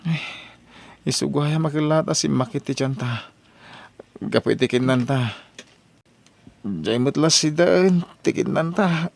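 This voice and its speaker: A man's voice: a little high, measured pace, vocal fry, pauses often. A man with an Ilocano accent playing a complaining, sheepish husband in an audio drama. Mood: grumbling.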